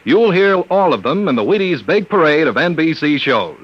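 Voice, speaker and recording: A man's voice: on the high side, sing-song, normal speed. A man announcing credits and promotions on a 1950s radio show, in a polished, promotional delivery. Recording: dull, noisy old radio broadcast.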